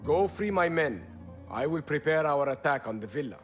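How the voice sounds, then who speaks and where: An actor playing a hard, ruthless and proud rebel leader in a video game, curt and commanding. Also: male voice, fairly high pitch, expressive, normal speed, faint music behind, natural voice. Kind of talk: video game cutscene acting.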